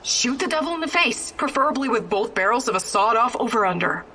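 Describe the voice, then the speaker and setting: A woman's voice: fairly high pitch, expressive, quick pace, pushed and bright, a little hiss or hum. An actress playing a fiery, impatient young female rebel fighter in a video game, blunt, combative and bitter. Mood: defiant. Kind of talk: video game character acting.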